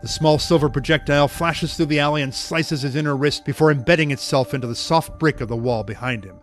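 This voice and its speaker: A man's voice: a little high, quick pace. Male narrator, dramatic scripted storytelling for audio drama, light music underneath.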